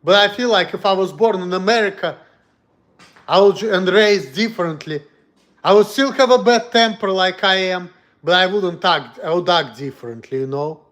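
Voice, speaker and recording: Man with a Russian accent, high-pitched, blunt, heated, casual stream chat. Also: normal speed, a bit bright. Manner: blunt, heated, speaks in broken English.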